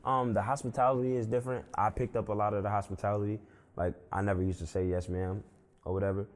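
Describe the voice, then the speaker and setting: A young man from Brooklyn talks easily as a guest in a casual interview. His voice sits very low and relaxed, and he strings his thoughts together with loose fillers. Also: fast talker. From Brooklyn, New York. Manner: laid-back, filler-heavy, often adds "you know what I'm saying". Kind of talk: casual conversation.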